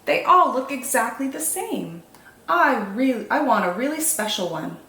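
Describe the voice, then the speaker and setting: Woman voicing an excited little girl, roomy sound. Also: a little high, moderate pace, far from the mic, slight background noise, full character voice. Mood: earnest, hopeful. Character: excited, kind little girl picking pumpkins.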